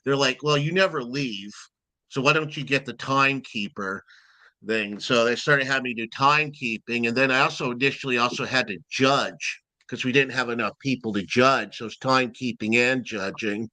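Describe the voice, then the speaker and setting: A man with a loud voice, a guest timekeeper rambling expressively in a casual interview chat. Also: mid-range voice, normal speed, no room sound, low-quality recording.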